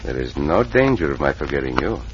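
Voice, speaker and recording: The Cisco Kid, lively gallant outlaw. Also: male voice, extremely low pitch, brisk. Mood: tender. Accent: Mexican. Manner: courtly, formal phrasing. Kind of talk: old-time radio western acting. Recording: dull, noisy vintage radio recording.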